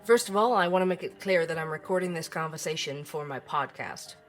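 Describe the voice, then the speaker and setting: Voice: mid-range voice, fairly quick, faint music behind, close to an everyday voice. An actor playing a dry-witted, persistent podcast host in an audio drama, narrating and interviewing conversationally. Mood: probing, conversational. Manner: wry, conversational.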